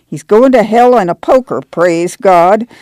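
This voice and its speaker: A woman voicing a feisty, pious and spiteful old grandmother in a storybook reading, shrill and bleating, with a rural American accent. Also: unhurried, a little mellow, no echo, close to an everyday voice. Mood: spiteful pride. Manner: bleating, clamoring.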